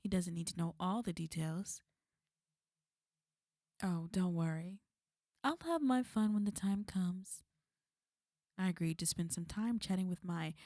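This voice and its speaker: A woman's voice: lowish voice, mellow, no room sound, studio quality. A woman playing a flirty, possessive villain in an ASMR roleplay, speaking intimately to the listener in a teasing, commanding way. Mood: smug, threatening.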